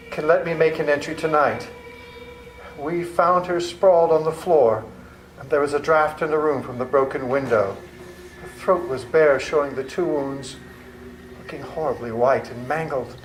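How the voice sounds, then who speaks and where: A man narrating journal entries as a worried young doctor in a live radio play, measured in narration and anxious in scenes. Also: a little high, lightly acted. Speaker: narrator and lead actor. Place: library hall with live audience. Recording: echoey hall with faint room noise.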